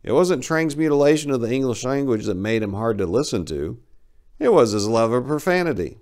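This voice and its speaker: A man's voice: medium pitch, animated, brisk, darkish tone. A man narrating war fiction as a dramatic story reading.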